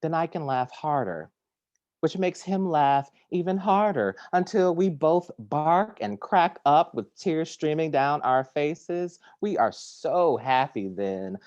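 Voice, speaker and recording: Slow, measured man reading poems. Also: on the high side, expressive, dry recording, lo-fi sound. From Detroit, United States. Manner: measured, deliberate reading that drops to a hush. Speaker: poet. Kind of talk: poetry reading. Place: home, at a kitchen table. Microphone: video call.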